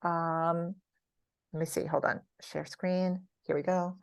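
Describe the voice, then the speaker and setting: A woman leads an online artist meeting over a video call, speaking slowly in a lowish voice. She steers the discussion with short, encouraging prompts. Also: little pitch movement, clear, resonant tone, dry recording. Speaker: host leading an artist group meeting. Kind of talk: casual group meeting talk.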